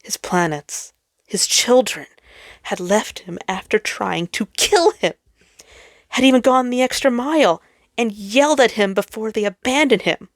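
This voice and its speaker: A woman reading a story aloud as a dramatic narrator, theatrical and emphatic, with phrases that trail off softly. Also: on the high side, a little slow, no echo, clean studio sound. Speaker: fan fiction reader.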